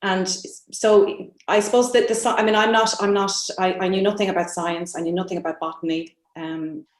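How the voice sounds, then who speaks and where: An Irish woman, a novelist on a panel, talking reflectively about her writing in a halting, thoughtful way. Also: medium pitch, steady tone. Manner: halting, thoughtful, searching for words. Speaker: novelist guest on a literary panel. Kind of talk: reflective interview answer about own writing. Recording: roomy, echoing sound.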